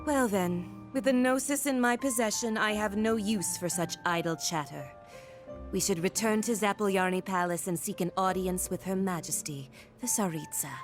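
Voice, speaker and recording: A woman's voice: medium pitch, moderate pace, soft background music, close to an everyday voice. An actress playing an elegant, condescending female villain in a video game, with a formal, superior manner. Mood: dismissive. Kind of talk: video game character voice acting.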